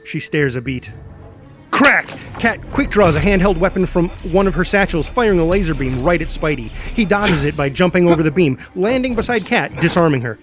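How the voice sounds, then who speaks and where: A man's voice: fairly high pitch, animated, a little fast, over music. A man reading scripted action narration as the narrator.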